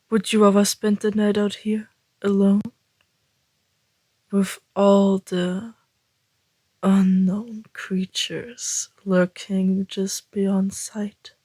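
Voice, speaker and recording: A woman acts out a flirtatious male vampire in an ASMR roleplay, predatory yet courteous. The voice is very slow and dark, dropping into breathy half-whispers with a teasing, deliberate delivery. It sounds close and dry. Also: medium pitch, no room sound. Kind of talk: ASMR roleplay, intimate scripted monologue.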